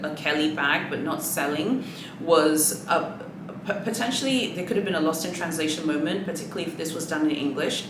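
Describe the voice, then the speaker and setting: A woman whose first language is Swahili, casually chatting to her viewers in a sassy, rambling manner with many asides. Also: sits low. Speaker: luxury handbag vlogger. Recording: echoey room with background noise.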